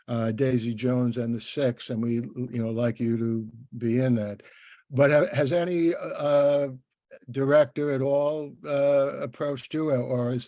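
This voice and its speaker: An older man with a soft, mellow voice hosts a conversational interview. He speaks in a halting way, often doubling back on words as he forms a question. The sound is dry and close, with very little room in it. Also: mid-range voice, dry recording. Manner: hesitant, stammering with repeated words.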